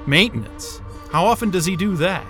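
A man's voice: sits high, very animated, moderate pace, a bit bright, faint music behind. An actor playing a frustrated, sarcastic man trapped at a remote station, sardonic and exasperated, in a naturalistic audio drama. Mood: flustered, resentful.

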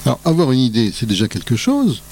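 Male local-radio interviewer, medium pitch, conversational delivery. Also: wildly swooping pitch, fast, a little mellow, slight background noise, poor audio.

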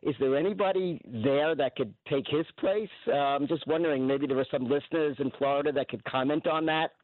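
A man's voice: fairly high pitch, a little fast, no room sound. A man calling in to a radio talk show, earnest and polite. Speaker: caller. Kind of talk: call-in radio. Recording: tinny phone line.

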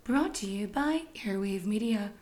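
A woman hosting a history podcast, telling stories in a chatty, swearing, self-mocking way, her voice still a little croaky. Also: mid-range voice, animated, unhurried, a little mellow. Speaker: podcast host. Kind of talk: casual comic monologue.

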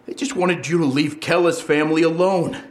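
An actor playing an injured, defiant police detective in an audio drama, resentful and sarcastic. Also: male voice, a little high, fairly even intonation, moderate pace, lightly acted. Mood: bitter, defiant.

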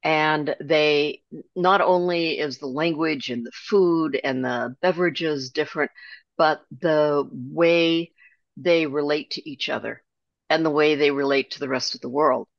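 An older woman, a guest author, reflecting on her life in a relaxed storytelling way with frequent restarts. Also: low voice, a little slow, vocal fry, no room sound, muffled recording. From Germany. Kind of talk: conversational interview.

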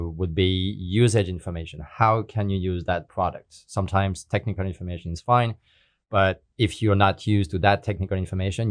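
Low-voiced man explaining methodically. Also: no echo. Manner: careful, methodical, with non-native phrasing. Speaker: guest speaker. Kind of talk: explanatory interview answers.

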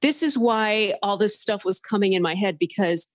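A woman's voice: mid-range voice, moderate pace. Chatty woman telling a rambling story. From Southern California. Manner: animated, rambling, heavy use of filler words. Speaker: caller sharing a paranormal story. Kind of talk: casual storytelling on a phone-in podcast.

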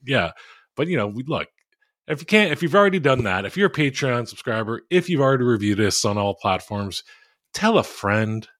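A man co-hosting a podcast over a call, rambling and self-mocking in a flat monotone with crude jokes. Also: mid-range voice, brisk, no room sound, clean studio sound. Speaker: podcast co-host. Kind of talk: casual podcast chat.

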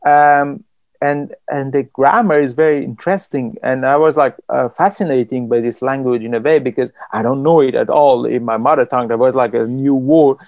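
A man from Iran, a writer, speaking in an interview in a thoughtful, halting way with many restarts and fillers. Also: medium pitch, a little mellow, no room sound. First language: Persian.

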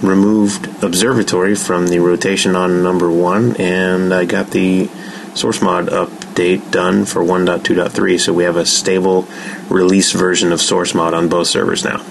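A man's voice: sits low, level tone, normal speed, creaky. A man giving a scripted community update as a game server admin, in a noisy recording.

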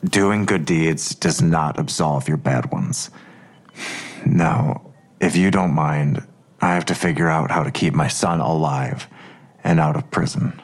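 An actor playing a guarded, middle-aged police detective and worried father in an audio drama, gravelly and terse. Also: rumbling low, a little slow, heavily acted. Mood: grave, defensive. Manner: terse, cagey.

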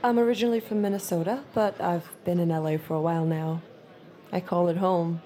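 A woman playing a compliant young girlfriend in an audio drama, soft-spoken and guarded, sweet on the surface and doubting underneath. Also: fairly low pitch, fairly even intonation, fairly quick, lightly acted. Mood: polite, sweet. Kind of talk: naturalistic audio drama acting.

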